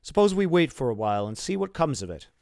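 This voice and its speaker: A man voicing an anxious, wavering, soft-hearted fellow in an audiobook, agitated and emphatic. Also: mid-range voice, very animated, fast, creaky, dry recording, studio quality, voice pushed up. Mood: uneasy.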